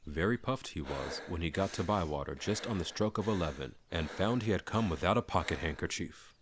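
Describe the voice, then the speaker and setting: A man's voice: low voice, animated, fairly quick, noisy background. A man narrating a classic fantasy story as a dramatic audiobook reading.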